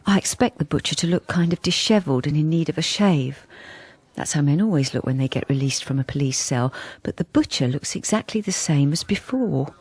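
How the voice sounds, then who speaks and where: An actress playing a timid, wistful middle-aged wife in a radio drama, speaking in a hesitant, confiding way. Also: female voice, low voice, lively intonation, brisk, darkish tone, slightly rough, no echo, heavily acted. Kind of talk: radio drama acting with first-person narration.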